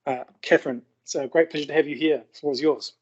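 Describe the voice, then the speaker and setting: A man with a slightly higher voice moderates an event, reading a speaker's credentials in a formal way. He goes down the list steadily. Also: fairly even intonation, fast, slightly rough, highs cut off.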